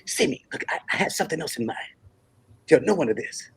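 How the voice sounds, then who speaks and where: A man's voice: on the high side, animated, fast talker, slight background noise. A man playing an earnest, idealistic young African prince in a comedy table read.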